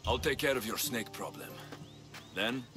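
An actor playing a tough, blunt, sardonic mercenary of ancient Greece in a video game. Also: male voice, mid-range voice, sing-song, normal speed, over music, lightly acted. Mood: questioning, determined. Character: tough, wry male Spartan mercenary, blunt and menacing. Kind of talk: video game character acting.

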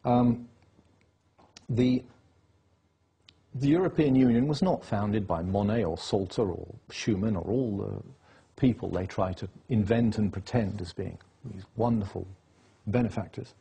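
Husky-voiced man lecturing insistently. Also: on the low side, measured pace, mellow. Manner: insistent, lecturing, piles up dates and facts. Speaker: interview guest. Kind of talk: political interview.

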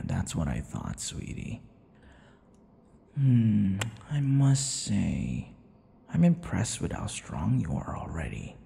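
A man playing a possessive, cocky, menacing vampire captor in an ASMR roleplay, taunting in a breathy voice that often drops into whispers. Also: fairly low pitch, warm tone, big-room sound, full character voice. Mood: mildly amused, then cocky, warning. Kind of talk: ASMR boyfriend audio roleplay.

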